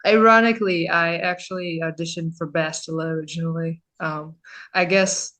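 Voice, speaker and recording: A woman's voice: lowish voice, fairly even intonation, normal speed, a bit bright. A woman voice actor as an interview guest, telling things informally and a little self-deprecatingly. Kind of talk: casual interview chat.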